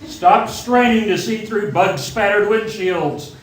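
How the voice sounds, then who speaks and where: A man playing an upbeat sponsor announcer giving a polished 1940s radio commercial pitch. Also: slow. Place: theatre stage. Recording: very echoey theatre, distant mic, faint noise.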